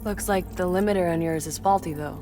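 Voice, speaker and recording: Over a clear music bed, an actress plays a weary young mother who works as a scientist. Her voice sits fairly low for a woman, soft-spoken and caring, with a measured, analytical delivery in a naturalistic cinematic game style. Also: natural voice. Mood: sober, explanatory. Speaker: scientist and engineer.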